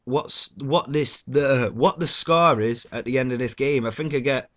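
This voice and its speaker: Stuffy-nosed gamer rambling. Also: male voice, mid-range voice, steady tone, measured pace, a bit bright. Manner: rambling, loose and sweary, with many fillers. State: stuffy, blocked nose. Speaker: gaming YouTuber. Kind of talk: casual gameplay commentary.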